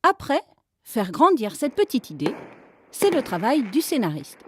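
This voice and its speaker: High put-on voice, done by a man, lively pitch, noisy track. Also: unhurried, heavily acted. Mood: playful.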